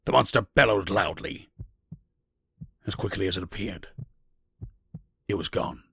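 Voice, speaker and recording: A man narrating a dark fantasy tale as a solemn storyteller, reading from a script. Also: deep voice, unhurried, creaky, slightly rough, dry recording, clean studio sound, heavily acted.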